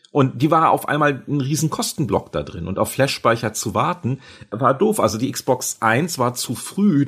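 A man's voice: on the low side, husky. A man in a casual podcast discussion, telling long anecdotes and rambling explanations. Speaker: podcast guest, former industry insider.

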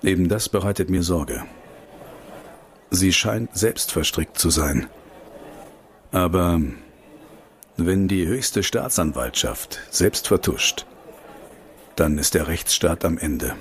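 An actor playing a determined, disillusioned investigator in a political radio play, measured and dryly sardonic. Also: male voice, deep voice, expressive, a little hiss or hum, full character voice. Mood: grim, resolute. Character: determined male investigator, disillusioned with corrupt state authorities.

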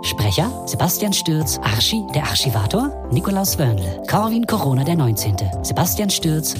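A woman's voice: sits low, very animated, normal speed. A woman reading scripted closing credits as an announcer. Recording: music under voice.

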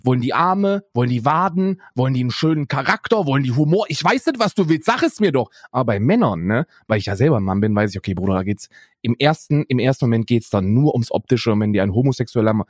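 A man's voice: mid-range voice, lively intonation, quick pace, dry recording, studio quality. A young adult man co-hosting a podcast in casual chat, rambling on and tagging his lines with "ne?" and "Bruder". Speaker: podcast co-host.

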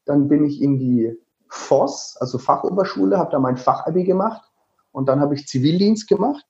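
A man in his thirties with a Franconian German accent, talking as an interview guest in a lively, jokey, rambling way with many fillers. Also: mid-range voice. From Lower Franconia, Germany. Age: 30s. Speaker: guest, travel speaker. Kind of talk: casual interview talk. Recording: slightly dull lo-fi sound.

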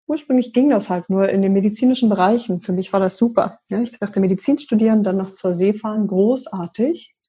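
A middle-aged woman from Berlin with a mellow, husky voice talks in a measured, articulate way. She answers questions as an interview guest, explaining her work as a leadership coach with calm, steady confidence. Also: mid-range voice. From Berlin, Germany. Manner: measured, articulate, occasional fillers. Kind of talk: conversational interview.

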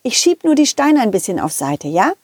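A woman's voice: mid-range voice, sing-song, normal speed, breathy edge, dry recording. A woman voicing a cheerful, loyal young male dog in a children's audio play, fussing eagerly over his friend. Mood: worried, caring.

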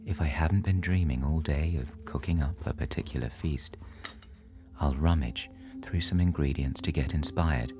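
A man narrating a scripted bedtime story in a soothing, measured manner. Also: rumbling low, over music.

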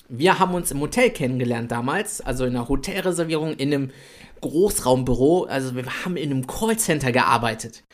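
A man in his late twenties co-hosting a podcast, talking in a chatty, energetic way about building an online business. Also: fairly high pitch. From Italy. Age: 20s. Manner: energetic, chatty, swears lightly. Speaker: podcast co-host. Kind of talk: casual podcast chat.

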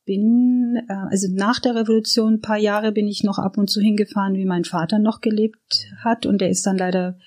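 A woman's voice: medium pitch, steady tone, a little fast, hardly any pauses, a little mellow. A middle-aged woman from Iran reminiscing casually as an interview guest, reflective and often searching for her words.

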